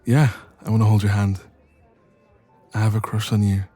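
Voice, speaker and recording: A man playing a smitten, shy and teasing young best friend in a romantic audio roleplay, speaking softly and intimately with some hesitation. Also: on the low side, level tone, normal speed, faint music behind, close to an everyday voice. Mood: playful, affectionate.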